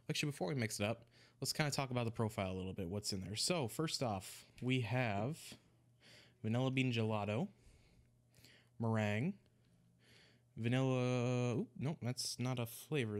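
A young man casually walking through a recipe in a home vlog, sounding a little congested, relaxed and rambling, often restarting his words. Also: mid-range voice, normal speed, long pauses. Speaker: e-liquid mixing vlogger. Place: small room at home, indoors. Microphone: desk microphone in front of him.

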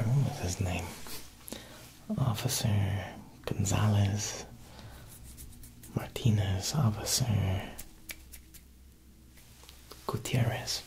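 A young man playing a smooth, quietly menacing Italian mob boss tailor in an ASMR roleplay, speaking softly and unhurriedly at a close mic, with insinuating pauses. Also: lowish voice, poor audio. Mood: probing, sly. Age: young adult. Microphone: close ASMR microphone.

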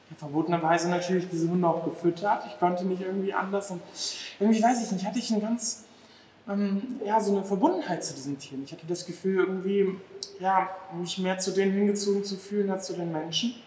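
Animated man from Afghanistan, echoey hall. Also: high voice, brisk, slight background noise. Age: 30s. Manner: lively, animated, acts out dog owners' exclamations. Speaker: dog behavior therapist, guest. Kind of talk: casual interview talk. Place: large empty restaurant hall, indoors.

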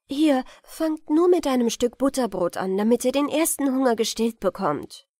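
A woman's voice: sits high, lively intonation, moderate pace, mellow, no echo, clean studio sound, pitched above the performer's own voice. A woman voicing a kind, forthright young peasant woman in a fairy-tale audiobook, eager and plain-spoken. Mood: warm, bustling.

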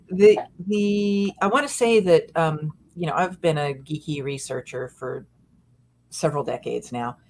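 An older woman, a dog behavior consultant, chatting earnestly and self-deprecatingly on a video call from a room at home. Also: lowish voice. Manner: earnest, self-deprecating, gestures while talking. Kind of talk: casual video-call chat.

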